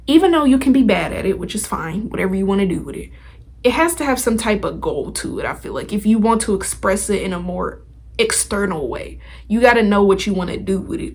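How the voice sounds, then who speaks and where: Husky-voiced woman podcast host. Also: mid-range voice. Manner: informal and slangy, emphatic, conversational. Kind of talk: casual motivational talk, direct to listeners.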